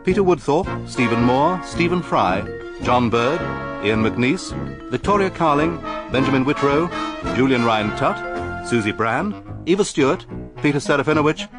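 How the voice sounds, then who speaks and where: A man's voice: medium pitch, expressive, normal speed. A man with an English accent reading scripted closing credits as a radio announcer. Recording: loud brass theme music behind.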